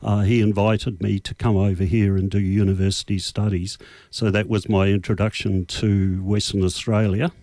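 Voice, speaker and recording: Older kiwi man, low voice, halting studio interview guest, dry sound. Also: little pitch movement, mellow, dry recording. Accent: New Zealand. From New Zealand. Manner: unhurried, hesitant with many uh fillers. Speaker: radio guest. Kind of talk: casual interview answers. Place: radio studio.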